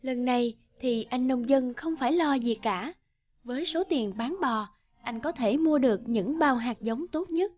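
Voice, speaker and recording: A woman's voice: fairly high pitch, muffled recording. A woman narrating a scripted children's folk tale as a storyteller. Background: music behind the voice.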